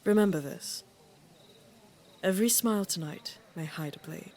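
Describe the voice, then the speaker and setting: A woman playing an aging, regal queen and mother in a fantasy audio drama, speaking in a measured, deliberate and wry way. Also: lowish voice, darkish tone. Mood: dry resolve. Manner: measured, deliberate, dryly teasing.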